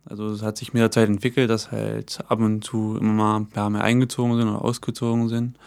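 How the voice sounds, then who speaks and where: A man's voice: lowish voice, level tone, quick pace, creaky, darkish tone, no room sound, clean studio sound. A man giving a casual interview in an offhand manner with filler words.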